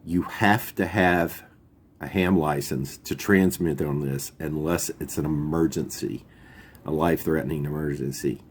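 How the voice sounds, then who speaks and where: A man's voice: a bass voice, steady tone, measured pace, breathy edge. A man hosting a video, explaining gear in an informal, folksy, plain-spoken way. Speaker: YouTube channel host. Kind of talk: casual product overview, explaining radio gear.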